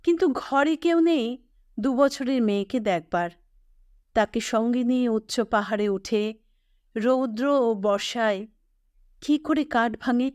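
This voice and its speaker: A woman, reading a scripted literary story as host in a measured, expressive way. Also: fairly high pitch, clear, resonant tone, dry recording.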